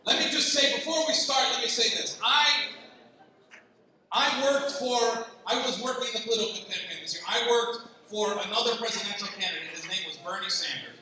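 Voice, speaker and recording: A Palestinian man doing a lively stand-up set, teasing the crowd and jumping up in pitch when excited. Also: unhurried, long pauses. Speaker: stand-up comedian. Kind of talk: stand-up comedy set at a gala. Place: large banquet hall. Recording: far-off mic in an echoey banquet hall with noise.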